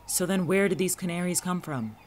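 A man's voice: high-pitched, a little fast, slight background noise, natural voice. A man playing a guarded, watchful friend in an audio drama, speaking conversationally with dry, exasperated sarcasm. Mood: dry, sceptical. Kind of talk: naturalistic audio drama dialogue.

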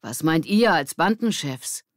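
A woman's voice: low voice, animated, unhurried, no room sound, studio quality. A woman voicing an enthusiastic, friendly schoolboy with an upbeat, persuasive manner in a children's audiobook. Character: enthusiastic, friendly schoolboy showing his discovery.

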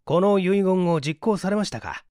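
Man reading as inquiring detective, raised pitch. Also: normal speed, darkish tone, no room sound, clean studio sound, lightly acted. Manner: probing, courteous questioning. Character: inquiring, courteous male detective in a mystery. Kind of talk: audiobook mystery reading.

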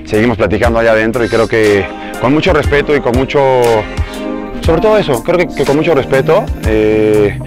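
A Mexican man in his thirties gives a reality show confessional outdoors. He speaks rapidly in a medium-pitched voice, with music clearly playing underneath. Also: lively intonation. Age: 30s. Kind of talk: confessional interview, recounting events. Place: outdoors in a resort garden.

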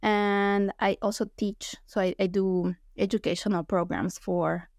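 A woman from Ecuador speaks through an earbud mic on a video call, her voice dry and close with no room sound. She talks at a middle pitch, hesitant, with many restarts and fillers. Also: level tone, a little slow, darkish tone, no room sound. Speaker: guest anthropologist. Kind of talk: casual interview talk. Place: small home room. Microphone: earbud headset on video call.

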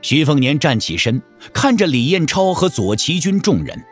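Guy narrating wuxia audiobook, higher-ish voice, really lively intonation, quiet music behind. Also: moderate pace, breathy edge. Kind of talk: dramatic audiobook narration of a martial-arts novel. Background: soft background music.

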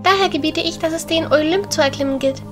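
A woman playing a hasty goddess of the wind in an audio drama, hurrying on without pauses. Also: high voice, soft background music, close to an everyday voice. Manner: hurried, running on without pauses.

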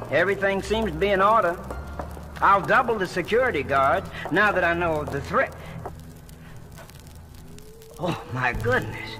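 A fussy old gentleman curator speaks in a high, animated voice. He is courteous yet flustered and theatrical, with prominent music behind him on a vintage story record. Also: exaggerated voice. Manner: genteel, fretful, theatrical. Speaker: voice actor. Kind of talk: radio-drama acting on a children's story record.

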